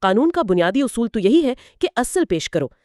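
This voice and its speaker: Casting: female educational co-host, animated intonation, didactic step-by-step delivery, close dry sound. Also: fairly high pitch, fairly quick, no room sound. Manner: didactic, explains step by step with examples. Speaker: co-host explaining law. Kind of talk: explanatory educational dialogue.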